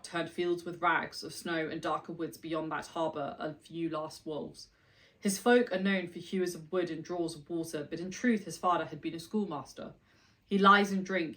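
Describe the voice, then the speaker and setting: A woman's voice: low voice, a bit bright, distant mic, low-quality recording. A woman book vlogger chatting casually and reading opening passages of novels aloud with enthusiasm.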